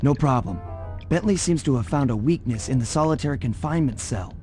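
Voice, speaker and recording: Man as a young cartoon raccoon thief, mid-pitched voice, suave, cocky, easygoing, loud music behind. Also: lively intonation, brisk, close to an everyday voice. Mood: assured. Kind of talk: animated video game cutscene acting. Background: game music.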